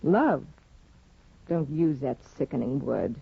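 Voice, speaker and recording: Disdainful upper-class young wife for vintage radio drama; sardonic, imperious, very animated melody, muffled period recording. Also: female voice, rumbling low, takes it slowly, gravelly, breathy edge, full character voice. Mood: scornful. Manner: haughty, sardonic, theatrical. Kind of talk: 1950s radio drama acting.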